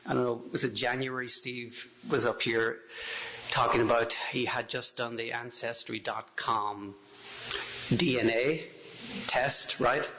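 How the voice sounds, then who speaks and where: A gravelly-voiced Irish man speaks to a church congregation in a relaxed, self-deprecating way, wandering through his story with small restarts. The recording is dull and carries a faint hiss. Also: medium pitch, a little slow. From Ireland. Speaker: guest speaker. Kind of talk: casual talk to a congregation. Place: church hall.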